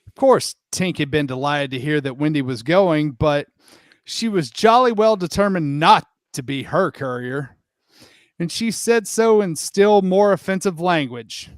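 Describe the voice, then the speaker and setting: A man's voice: on the high side, animated, measured pace, dry recording, clean studio sound. A man narrating a comic dramatic storybook reading in a theatrical, irreverent, sweary manner with asides. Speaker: host and narrator.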